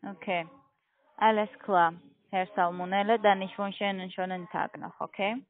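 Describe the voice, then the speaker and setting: A woman's voice: medium pitch, fairly even intonation, normal speed. A woman with a foreign accent, speaking non-native German as a direct, businesslike telemarketing caller. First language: not German. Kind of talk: sales phone call. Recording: tinny phone line.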